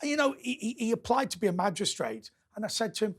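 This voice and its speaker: Male TV host, high and bouncy voice, chatty storyteller. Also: fast talker, husky. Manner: animated anecdote-telling, laughs often. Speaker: quiz show host. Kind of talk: panel chat. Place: television studio.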